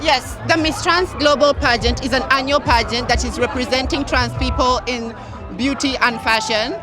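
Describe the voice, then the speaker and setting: A woman's voice: high voice, moderate pace. A woman from Uganda speaking fluently and passionately as an activist in an outdoor interview. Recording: outdoor crowd and music behind. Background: crowd and music.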